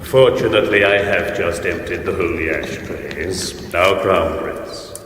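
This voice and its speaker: An actor playing a pompous, menacing evil bishop in an imperious theatrical manner for a radio fantasy comedy. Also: male voice, low voice, measured pace. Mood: imperious menace. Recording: very echoey cavernous hall reverb.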